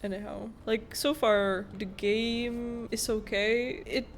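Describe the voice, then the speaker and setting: A woman's voice: a little high, fairly even intonation, takes it slowly, a little hiss or hum. A woman giving casual reaction commentary as she plays through a video game. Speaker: gamer commentating a playthrough. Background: faint game music.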